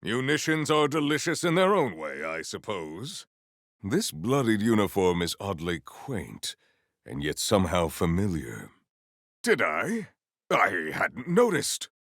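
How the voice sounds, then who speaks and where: Game warrior-poet: battle-eager, lofty formal diction, gravelly edge, very dry close sound. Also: male voice, on the low side, wildly swooping pitch, unhurried, a bit bright, no echo, heavily acted. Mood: musing, stately. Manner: grandiose, formal, theatrical diction. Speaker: video game voice actor. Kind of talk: scripted game character lines.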